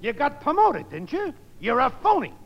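Sour raspy blue collar buddy, really high pitched, jeering, old radio comedy. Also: male voice, wildly swooping pitch, moderate pace, exaggerated voice. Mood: scornful, accusing. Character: sour, jeering working-class pal, middle-aged man, accusing. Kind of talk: 1950s radio comedy acting. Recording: muffled, echoing old radio broadcast.

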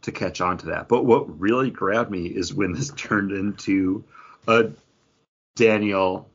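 A man's voice: low voice, unhurried, slightly rough, dull audio. A man co-hosting a podcast, talking in casual conversation as he shares his opinions. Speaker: podcast co-host reviewing comics. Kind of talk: casual chat.

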